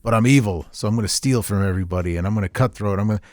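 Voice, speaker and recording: A man with a slightly low voice speaks as a podcast host, close and dry on the recording. He rambles through his opinions in casual, unscripted talk, ranting as he goes. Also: racing, darkish tone, dry recording.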